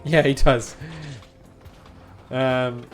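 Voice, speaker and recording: A man's voice: mid-range voice, steady tone, drawn-out words. A man streaming a game, chatting informally with rambling explanations. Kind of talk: casual live-stream chat while gaming. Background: game music.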